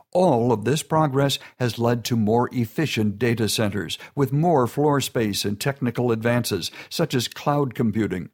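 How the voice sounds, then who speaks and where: A man giving a steady, informative scripted narration. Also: medium pitch.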